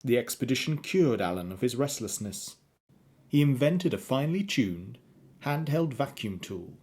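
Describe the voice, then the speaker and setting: A man's voice: mid-range voice, a little mellow, breathy edge. A man narrating a radio play as a scripted storyteller.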